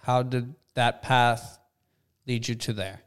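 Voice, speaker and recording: A man's voice: mid-range voice, steady tone, takes it slowly, clear, resonant tone. A man co-hosting a casual podcast, joking and asking the guest questions. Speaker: podcast co-host, realtor.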